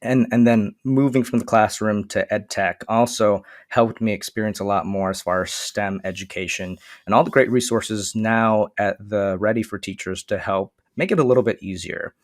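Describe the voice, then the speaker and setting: A man whose first language is Vietnamese, a guest on a casual podcast interview, explaining his work in an earnest, steady way. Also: lowish voice, normal speed, few pauses, no room sound, clean studio sound. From Michigan, USA. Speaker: guest, STEM consultant.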